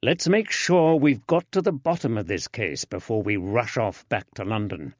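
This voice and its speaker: Male reader playing a patient, shrewd detective, measured and even; storybook, dry close sound. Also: fairly low pitch, no echo. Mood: calm. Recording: dull lo-fi, dry.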